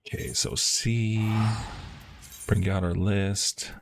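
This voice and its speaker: A man's voice: low-pitched, steady tone, takes it slowly, faint music behind. A man streaming himself playing an online game, chatting casually with frequent fillers. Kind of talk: casual live-stream commentary.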